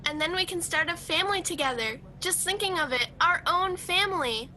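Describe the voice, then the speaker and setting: A woman's voice: expressive, brisk, bright, forceful tone, full character voice. A woman playing a humble, devout young bride-to-be in a radio play, earnest and sincere. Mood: happy, affectionate. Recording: home video call with noise and rumble.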